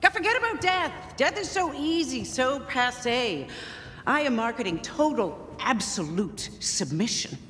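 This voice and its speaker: An actress playing a ruthless, power-hungry villain boss in a video game, with a theatrical, imperious and gloating delivery. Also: female voice, sits high, very animated, measured pace, a bit bright, long reverb tail, slight background noise, exaggerated voice. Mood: smug, gloating. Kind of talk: video game cutscene acting.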